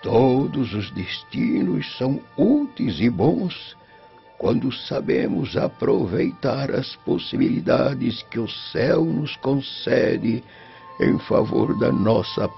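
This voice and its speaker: An actor playing a frail, devout old Roman father in a radio drama, solemn and tender, with a breathy, quavering voice. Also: mid-range voice, very animated, slow pace, full character voice. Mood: grave, tender. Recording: muffled lo-fi, music underneath.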